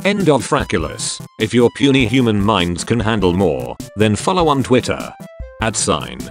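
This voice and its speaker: A man's voice: on the low side, very animated. A man voicing a condescending non-human overlord, reading out scripted podcast credits over music. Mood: haughty, commanding. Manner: slow, spelling out words letter by letter. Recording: music behind the voice.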